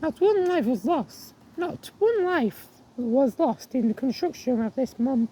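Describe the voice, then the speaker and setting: A woman with a croaky voice walking outdoors, chatting casually to her viewers and reading signs aloud with many restarts. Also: high-pitched, animated, mellow, a little hiss or hum, poor audio. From England. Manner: rambling, restarts words, reads signs aloud haltingly. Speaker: vlogger. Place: outdoors on a viaduct.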